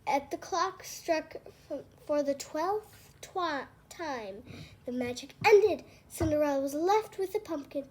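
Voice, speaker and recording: A woman's voice: sits high, animated, unhurried. A woman reading a children's storybook aloud as a storyteller.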